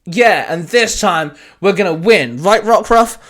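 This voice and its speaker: Brave boy hero, read by a man, earnest and emphatic, lifted pitch, story reading. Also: animated, a little slow, a bit bright, full character voice. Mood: determined. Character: eager, brave boy Pokemon trainer.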